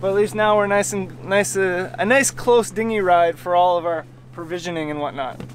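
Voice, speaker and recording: A relaxed, chatty man with a fairly high voice talks to a camera held at arm's length. He is on a sailboat outdoors, and faint wind and water noise sits under his voice. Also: moderate pace. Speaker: sailing vlogger. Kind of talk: casual vlog narration.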